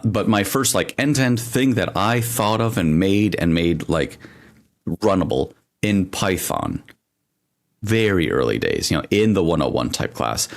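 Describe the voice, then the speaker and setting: A man with a low, deep voice that swoops up and down a lot as he talks. He co-hosts a casual podcast and tells long, rambling stories, piling on asides and restarts as he goes. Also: measured pace. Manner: rambling, animated storytelling with frequent asides. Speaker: podcast co-host.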